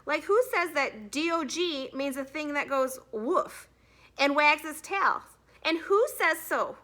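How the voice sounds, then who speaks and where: A woman's voice: high voice, expressive, slow pace, bright, forceful tone, pitched above the performer's own voice, full character voice. A woman voicing a cheeky, earnest schoolboy in a storybook, speaking with showy keenness. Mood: questioning. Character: schoolboy Nick, cheeky and earnest, stalling for time.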